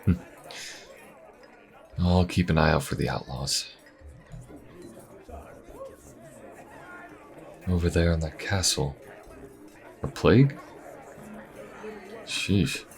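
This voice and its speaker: A man playing a wry, weary Inquisition agent in a fantasy audio roleplay, talking casually and dryly with musing pauses. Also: low-pitched, vocal fry, a faint crowd, full character voice. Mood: curious, wry. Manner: casual, dry, musing, with sighs and hesitant pauses. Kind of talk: fantasy audio roleplay, conversational monologue to the listener.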